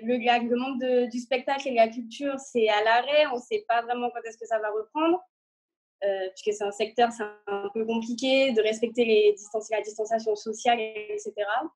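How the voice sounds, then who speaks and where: Young woman, high slightly husky voice. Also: fairly even intonation, normal speed, a little mellow, soft background music, rough recording. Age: 20s. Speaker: guest, dance student. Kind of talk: casual interview answers. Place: indoor room, video call.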